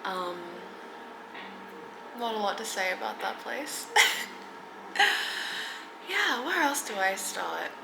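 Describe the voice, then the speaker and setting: Australian woman, 30s, shy vlogger, creaky tone, roomy noisy home recording. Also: medium pitch, sing-song, takes it slowly, long pauses. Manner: hesitant, many ums, soft giggles, drops to near whisper at times. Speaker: content creator. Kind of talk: casual get-to-know-me vlog. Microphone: camera mic.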